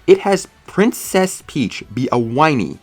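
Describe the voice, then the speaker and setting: A man's voice: mid-range voice, big pitch swings, a little slow. A man narrating a scripted, opinionated video review in an emphatic, sarcastic, ranting manner.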